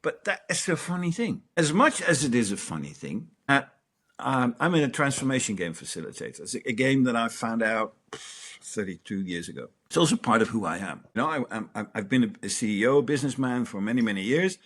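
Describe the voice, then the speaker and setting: Middle-aged man, Danish-born and raised in the Netherlands, interview guest, animated storyteller, very lively intonation. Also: medium pitch, a little fast. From Denmark, raised in the Netherlands. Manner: animated, swears and laughs while telling stories. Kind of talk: reflective storytelling.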